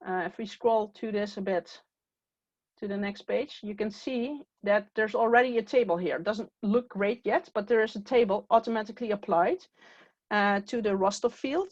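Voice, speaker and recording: A woman whose first language is Dutch walks through a software screen step by step, pausing on "uh" as she clicks. Her voice sits at a middle pitch and comes through a dull, lo-fi recording with a dry, close sound. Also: no echo. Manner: step-by-step, with frequent "uh" fillers. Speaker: software trainer. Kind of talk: screen-share tutorial walkthrough.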